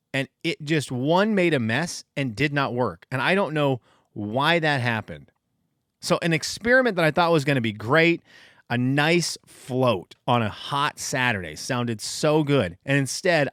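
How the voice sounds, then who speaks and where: A man's voice: medium pitch, fairly bright tone, dry recording, clean studio sound. A man in his thirties from Venezuela, hosting a casual solo podcast and telling rambling, self-deprecating stories. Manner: rambling storyteller, self-deprecating, frequent restarts. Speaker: podcast host.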